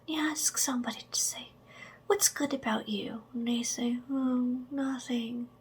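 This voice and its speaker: A woman whispering slow, soft ASMR affirmations straight to the listener, with chatty asides and a gentle sense of humor. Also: a little high. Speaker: ASMR creator.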